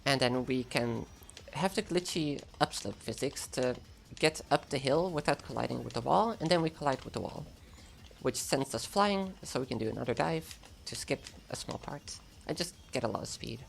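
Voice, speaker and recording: A woman's voice: rumbling low, animated, normal speed, creaky, a little hiss or hum. A German woman with an American-sounding English accent, chatting casually and laughing often while commentating a speedrun of her game live.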